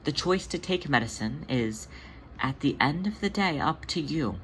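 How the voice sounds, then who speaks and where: A gentle, motherly she-cat from an audio story , as a narrator puts her on. The delivery is soothing, measured and reassuring. Clear background noise sits behind the voice. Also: medium pitch, animated, voice pushed up, natural voice, poor audio. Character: Jelly, gentle reassuring older female cat, motherly caregiver.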